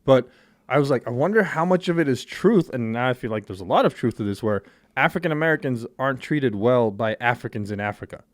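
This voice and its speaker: A blunt, foul-mouthed man who was born in Armenia hosts a casual podcast chat. His pitch swings widely as he talks, and his voice sounds close and dry, with no room echo. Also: quick pace. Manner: blunt, profane, animated storyteller. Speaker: podcast host.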